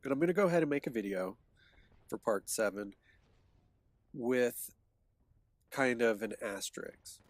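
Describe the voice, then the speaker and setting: A man's voice: mid-range voice, lively intonation, unhurried, pauses often, no room sound. Congested man rambling through his past. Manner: hesitant, many restarts and fillers. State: congested from a cold, sniffling. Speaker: vlogger recounting his past. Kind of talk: reflective personal monologue.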